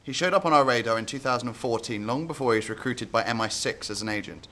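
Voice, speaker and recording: A man's voice: medium pitch, quick pace, sharp tone. A man acting a blunt, scornful security service chief in a radio drama, sarcastic. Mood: businesslike.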